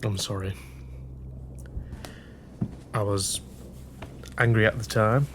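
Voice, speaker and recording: A man's voice: fairly low pitch, steady tone, slow pace, background noise. An actor playing a sincere young working-class grocer's assistant in a period radio drama, speaking earnestly and tenderly to his sweetheart. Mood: regretful, tender.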